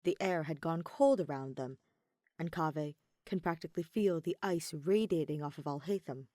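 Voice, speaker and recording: A woman's voice: low-pitched, normal speed, mellow, no room sound, clean studio sound. A woman reading a story as scripted narration, expressively, with dramatic emphasis on key words. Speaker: podfic narrator reading fan fiction.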